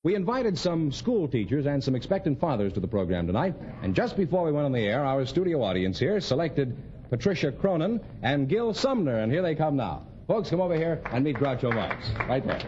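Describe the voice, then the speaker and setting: A man's voice: a little high, big pitch swings, a little fast, warm tone. An American man announcing a live radio quiz show in a projected old-time broadcast style. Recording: muffled vintage radio with faint hiss and audience.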